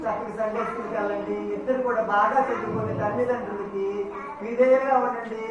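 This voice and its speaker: A woman's voice: fairly high pitch, fairly even intonation, quick pace. A woman hosting a children's Sunday school puppet show, instructing with questions and prompts. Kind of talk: moral teaching to children. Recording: echoey hall, distant mic, clear noise.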